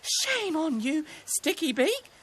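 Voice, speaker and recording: Lady doing a vain girly rabbit for a kids story, high and fussy, kinda dramatic. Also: expressive, moderate pace, slightly rough. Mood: indignant. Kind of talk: children's storybook character voice.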